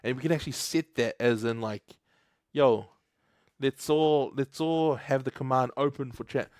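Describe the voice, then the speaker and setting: A man's voice: medium pitch, normal speed, darkish tone, dry recording, studio quality. Chatty New guy coding live. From New Zealand. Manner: chatty, laughs often, reads chat aloud. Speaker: livestream host. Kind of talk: casual live coding stream.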